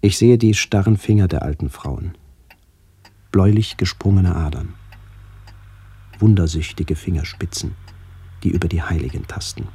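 A man playing a somber first-person narrator in a literary radio play, speaking in a measured, descriptive way. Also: low-pitched, warm tone, husky. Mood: somber, contemplative. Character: somber male first-person narrator remembering his aged aunts.

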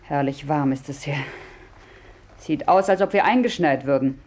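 A woman's voice: sits low, moderate pace, darkish tone. An actress playing a self-possessed, laconic woman traveller with wry wit, in a 1950s German radio play. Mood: wry, offhand.

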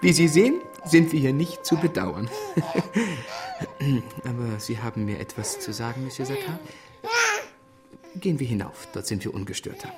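An actor playing an affable young stockbroker in a radio play, urbane and conversational. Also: male voice, medium pitch, animated, moderate pace, pauses often, over loud music, natural voice.

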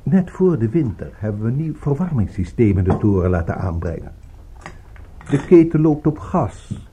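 Radio drama villain: male actor as courteous, coolly menacing gang boss, mellow tone, lively melodic delivery. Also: medium pitch, slight background noise, close to an everyday voice. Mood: smoothly menacing. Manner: polished, falsely courteous, unhurried. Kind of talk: Dutch radio drama acting.

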